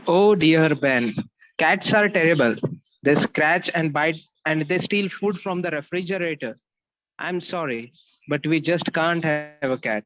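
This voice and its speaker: A man's voice: fairly high pitch, lively intonation, unhurried, fairly bright tone, dry recording, muffled recording. A man voicing a busy father who firmly refuses his son a pet, in a reader's theatre reading. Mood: dismissive.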